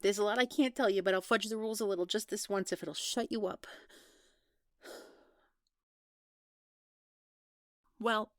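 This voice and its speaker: A woman acting in an audio roleplay as a defensive, anxious young woman who talks in a casual, halting, exasperated way. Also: medium pitch, dry recording, clean studio sound, natural voice. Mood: defensive, irritated.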